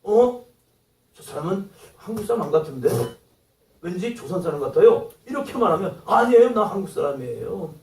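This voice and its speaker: A man preaching from the pulpit with a high, animated voice that swings widely as he acts out quoted lines. He sounds set back from the microphone, and his words ring through an echoey church hall. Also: moderate pace, recorded from a distance. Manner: acts out quoted dialogue, rising to exclamations. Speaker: pastor. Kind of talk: sermon.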